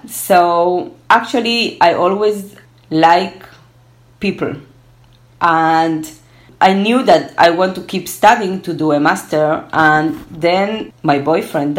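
Woman, Spanish speaker, low pitch, unhurried pace, halting and reflective, interview guest. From born in Argentina, moved to Israel at twelve. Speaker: interview guest, gerontology researcher. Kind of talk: conversational interview.

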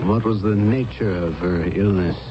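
Guy acting as stern shrink, lowish voice, curt and clinical, old radio drama, muffled. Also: normal speed, lightly acted. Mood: brusque, questioning. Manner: curt, formal, authoritative. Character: stern, clinical, probing psychiatrist, impatient with patient's husband. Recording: noisy, muffled 1970s radio drama tape.

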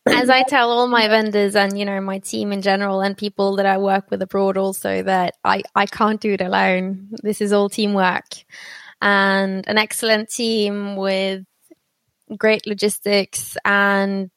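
A woman's voice: medium pitch, level tone, a little slow, dry recording. Norwegian-born woman w/ a british sounding accent, wedding planner, chatty and reassuring, dry close mic. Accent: British-sounding English. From Norway. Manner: warm, chatty, laughs often, reassuring. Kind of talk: podcast interview.

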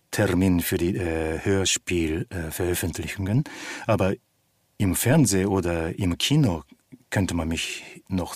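A man being interviewed as a voice actor, talking casually and haltingly as he searches for words. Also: deep voice, dry recording, clean studio sound.

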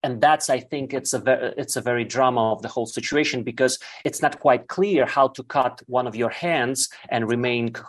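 A man from Ukraine whose first language is Russian, answering as an interview guest in halting, searching speech full of fillers. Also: mid-range voice.

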